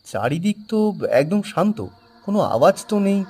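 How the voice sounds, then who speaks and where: A man's voice: high voice, expressive, brisk, a little mellow, slightly rough, faint music behind. A man voicing a suspicious, bossy wealthy buyer in a cartoon, speaking in a commanding tone and mixing in English words. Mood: stern. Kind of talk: Bengali cartoon dubbing.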